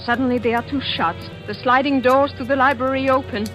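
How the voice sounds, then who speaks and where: Unhappy, cowed wife, guarded and restrained, higher register, vintage suspense broadcast with strong music bed. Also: female voice, fairly even intonation, a little fast, a bit bright. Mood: anguished, reluctant. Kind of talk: 1940s radio suspense acting. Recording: old radio broadcast with prominent music.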